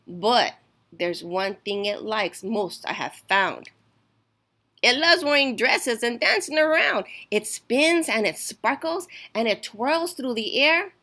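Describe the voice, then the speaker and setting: Female storytime reader: unhurried, emphatic delivery, slightly high and bright, for rhyming picture books. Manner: slow, deliberate, emphatic storytelling. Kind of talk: expressive read-aloud of a rhyming children's picture book.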